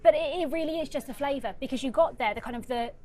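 A woman's voice: high-pitched, fast talker, warm tone. A woman presenting a news programme, handing over to reporters and summing up in a conversational way. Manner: conversational, links between reporters.

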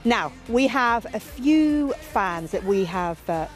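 A woman's voice: fairly high pitch, expressive, slow, faint music behind. A woman reporting live on television from an event, chatting and bantering with her guests in a live interview style. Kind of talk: live outside broadcast interviewing.

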